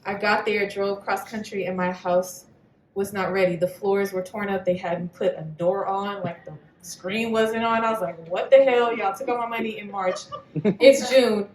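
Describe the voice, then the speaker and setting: A woman's voice: medium pitch, steady tone, moderate pace. A woman on a live panel telling personal stories, candid and blunt, swearing freely. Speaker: panelist poet. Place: venue hall at a live reading. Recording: echoey hall, mic at a distance.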